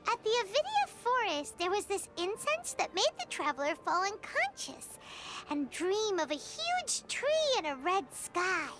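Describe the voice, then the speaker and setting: A woman voicing a tiny, excitable, childlike fairy companion in a video game, squeaky, expressive and inquisitive. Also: measured pace, over loud music, full character voice. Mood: curious.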